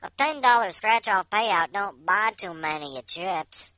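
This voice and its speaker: A woman's voice: mid-range voice, lively intonation, measured pace, bright, forceful tone, dry recording. A woman voicing a gloating, reckless gambling addict boasting through a short story monologue. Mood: smug, sly.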